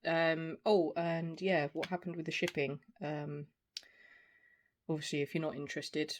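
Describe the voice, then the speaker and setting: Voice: medium pitch, little pitch movement, a little slow. A person in their forties giving a casual, unscripted product review in a hesitant, rambling way. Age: 40s. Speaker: video reviewer. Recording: clear background noise, small clicks.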